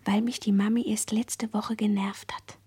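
A woman's voice: medium pitch, flat delivery, normal speed, warm tone, slight background noise, close to an everyday voice. A woman playing a curious, probing girl in a radio play, inquisitive in manner. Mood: probing, puzzled. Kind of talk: German radio play acting.

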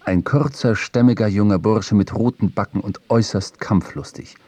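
A man narrating a literary audio drama with a husky voice, recorded in a studio. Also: on the low side, moderate pace, mellow, no echo. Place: dry studio.

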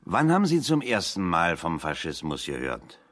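A man's voice: low voice, wildly swooping pitch, normal speed, fairly bright tone, heavily acted. An actor playing a down-to-earth working-class man in a German radio drama, dry, plain-spoken and slyly needling. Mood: dryly needling.

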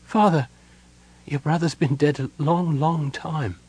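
Man putting on a high, tender daughter's voice. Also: big pitch swings, fast talker, a little mellow. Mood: tearful, pleading. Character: devoted innkeeper's daughter, tender grown woman.

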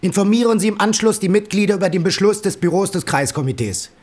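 Stern high-voiced male party boss. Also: lively intonation, fast, a little mellow. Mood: curt, reproving. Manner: formal, curt, interrogating. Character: stern party first secretary chairing a tribunal, curt, authoritarian. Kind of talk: German radio drama acting.

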